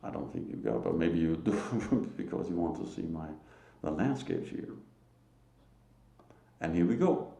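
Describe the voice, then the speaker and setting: A man from Denmark with a Danish accent, giving a solo religious talk to camera in a halting, emphatic way. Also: sits low, sing-song, vocal fry, dark tone, roomy sound. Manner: slow, halting, emphatic, with short laughs. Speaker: lay preacher.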